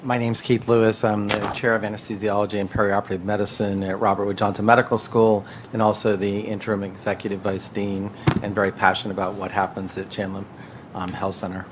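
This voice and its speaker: A man's voice: lowish voice, little pitch movement, quick pace. A man introducing himself at a roundtable as an anesthesiology chair. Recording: distant-sounding event audio with clear noise.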